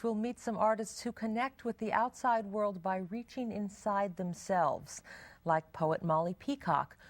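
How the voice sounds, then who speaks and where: A woman with an American accent hosting an arts television show, presenting scripted introductions and interviewing. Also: medium pitch.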